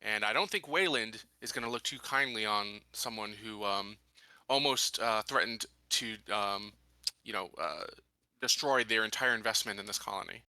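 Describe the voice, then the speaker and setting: A man talking casually as a player in a tabletop roleplaying game, thinking aloud in a deliberate, halting way. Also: fairly low pitch, pushed and bright, no room sound. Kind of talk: casual in-character and out-of-character game talk.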